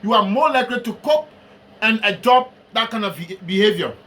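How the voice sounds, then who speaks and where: High, bright Liberian man preaching. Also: lively intonation. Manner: slow, emphatic delivery with Bible references. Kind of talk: sermon.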